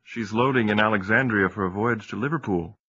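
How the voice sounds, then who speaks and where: An actor playing a good-humored sea captain who politely but firmly turns down drink. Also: male voice, fairly low pitch, a little fast, breathy edge, no echo, muffled recording, exaggerated voice. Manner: polite, gently firm. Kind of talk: audio drama acting.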